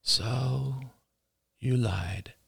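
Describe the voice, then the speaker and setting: A man's voice: medium pitch, extremely slow, creaky, fairly bright tone, breathy edge, no echo, clean studio sound, voice pushed up, exaggerated voice. A man voicing an angry, exasperated young man in an audiobook, biting, incredulous and accusatory with his partner. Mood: angry, sarcastic.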